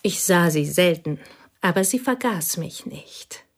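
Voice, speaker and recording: Low-voiced, brooding woman confiding. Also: expressive. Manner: deliberate, brooding, dropping into near-whispers. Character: intense, possessive woman confiding about her idolized childhood friend. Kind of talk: German radio drama dialogue, intimate confession.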